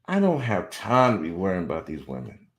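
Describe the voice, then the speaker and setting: Blunt, animated man in his forties. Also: deep voice, fairly quick. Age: 40s. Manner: blunt, animated, mocking when quoting others. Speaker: podcast host. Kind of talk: opinionated commentary monologue.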